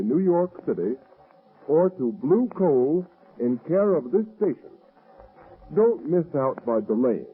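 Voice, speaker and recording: A man's voice: fairly high pitch, slightly rough. A man announcing in dramatic, emphatic 1930s radio style, as for a show and its sponsor. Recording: dull, muffled old radio recording.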